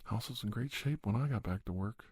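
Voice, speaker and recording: Low, husky middle-aged man, ASMR. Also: a little fast, no room sound. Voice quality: soft-spoken, breathy, often slipping into whisper. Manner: encouraging, folksy pep-talk advice. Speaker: podcast host playing an advice-giving doctor. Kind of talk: ASMR, one-sided role-play conversation.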